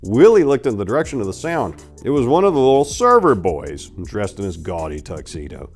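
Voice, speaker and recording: A man's voice: fairly low pitch, very animated, fairly quick, soft background music. A man hosting and narrating scripted dramatic readings in a theatrical, emphatic way. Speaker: channel host and story narrator.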